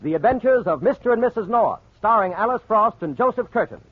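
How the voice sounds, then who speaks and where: A man's voice: sits high, lively intonation, a little fast, slightly rough. A male announcer introducing and narrating a 1940s radio drama in a formal, polished style. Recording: tinny old radio broadcast.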